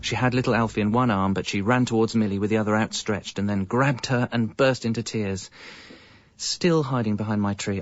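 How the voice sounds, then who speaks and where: A man's voice: sits low, no echo, dull audio. An English man playing a hapless, evasive young father, narrating and talking in a radio comedy with a hesitant, wry manner. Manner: hesitant, self-justifying, wry. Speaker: narrator and lead character.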